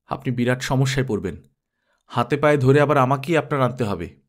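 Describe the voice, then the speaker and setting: A man's voice: mid-range voice, a little fast, lightly acted. A man voicing a proud senior company manager in an audiobook, with an indignant, self-important manner. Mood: annoyed, indignant. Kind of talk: audiobook character dialogue.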